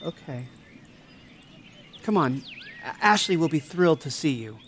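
Man playing an earnest, higher-voiced devoted best friend. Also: expressive, a little slow, fairly bright tone, a little hiss or hum. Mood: tender concern. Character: devoted, excitable, caring young male friend. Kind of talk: audio drama acting.

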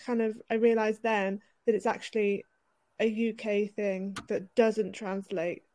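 A British woman, a writer and editor, speaking softly and hesitantly as a guest in a casual interview over a video call. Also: mid-range voice, flat delivery, unhurried. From United Kingdom. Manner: soft-spoken, hesitant with many ums.

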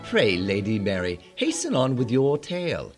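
An actor playing a suave, condescending gentleman villain in a theatrical fairy-tale radio drama, with a courtly, archaic delivery. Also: medium pitch, huge pitch swings, moderate pace, faint music behind. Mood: scolding.

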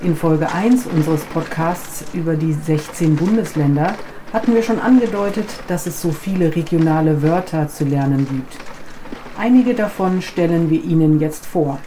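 A woman's voice: sits low, normal speed, dark tone, slight background noise, rough recording. A woman whose first language is Dutch, co-hosting a language podcast, asking questions and listening attentively. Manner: clear, attentive interviewer with frequent affirming hums. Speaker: podcast co-host, business German teacher. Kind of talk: conversational podcast chat.

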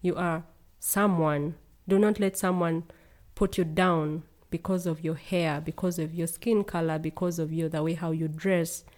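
A woman's voice: on the low side, steady tone, unhurried, darkish tone. A young woman from Uganda, a guest in a casual conversation, speaking earnestly and laughing easily.

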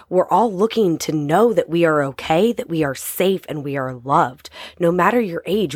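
Raspy low-voiced woman host, hoarse. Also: dry recording, studio quality. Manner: warm encouraging, speaks straight to listener. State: hoarse from a cold. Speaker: podcast host. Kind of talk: short motivational podcast talk.

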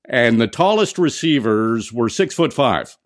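A man hosting a sports radio interview in a conversational way, pausing now and then as he frames his questions. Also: medium pitch, animated. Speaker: sports show host, interviewer.

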